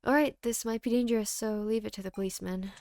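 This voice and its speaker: A woman's voice: fairly high pitch, fairly even intonation, fast, darkish tone, lightly acted. A woman voicing a laid-back, teasing policeman in a game, with a casual folksy drawl. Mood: calm.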